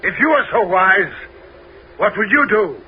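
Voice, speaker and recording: A man's voice: sits high, very animated, measured pace, a little hiss or hum. An actor playing an aged, proud king in a 1970s radio drama, speaking in a commanding, stately manner. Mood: weary. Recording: dull old radio broadcast.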